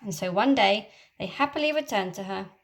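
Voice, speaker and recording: A teacher reading a children's storybook aloud in a lively, expressive way. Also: female voice, mid-range voice, brisk, gravelly.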